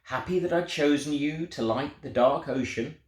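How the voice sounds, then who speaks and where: A man voicing a tender, proud God who slowly questions little creatures in a children's storybook. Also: fairly high pitch, close to an everyday voice. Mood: tender questioning. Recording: roomy, echoing sound.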